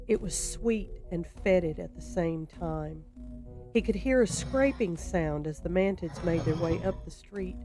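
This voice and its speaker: A woman narrating a scripted horror story in a deliberate, descriptive storytelling manner. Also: on the low side, mellow.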